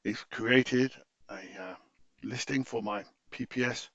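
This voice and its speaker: A man giving an unscripted, methodical screen-share software tutorial in an explanatory, instructive way, on a dull recording. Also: medium pitch, takes it slowly.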